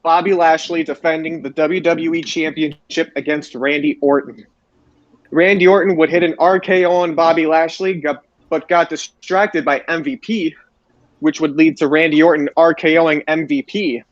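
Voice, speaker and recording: Higher-voiced man recapping wrestling, dull audio. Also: fairly even intonation, normal speed, no room sound. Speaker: podcast host. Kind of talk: recapping wrestling shows for co-hosts.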